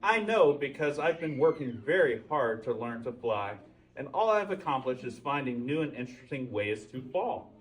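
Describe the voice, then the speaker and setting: A man's voice: mid-range voice, sing-song, normal speed, distant mic, natural voice, low-quality recording. Earnest, hopeful storybook moose, read by a man. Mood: apologetic, resigned. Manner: earnest, chatty, awkwardly hopeful letter-writer. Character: earnest, eager male moose hoping to be a reindeer.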